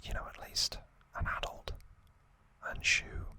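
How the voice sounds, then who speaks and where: Slow whispering man, ASMR style. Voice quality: soft, breathy unvoiced whisper. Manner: slow, halting, informal asides. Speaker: ASMR host. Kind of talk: whispered ASMR trivia recap.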